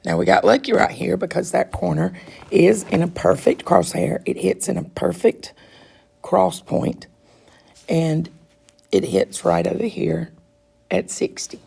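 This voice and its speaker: A woman teacher with a stuffed-up, froggy throat explains math slowly and patiently. Her voice is heavily gravelly and deeply husky, and she sits a little low in pitch. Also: expressive, warm tone. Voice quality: raspy. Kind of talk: recorded math lesson walkthrough.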